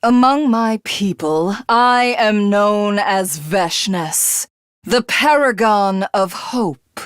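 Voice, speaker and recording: A woman's voice: medium pitch, very slow, smooth, no echo, clean studio sound. A woman voicing a megalomaniac alien warrior from a video game, haughty and theatrical, who devours rivals to become a god. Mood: smug, menacing. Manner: haughty, grandiose, theatrical, self-satisfied. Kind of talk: video game character voice acting.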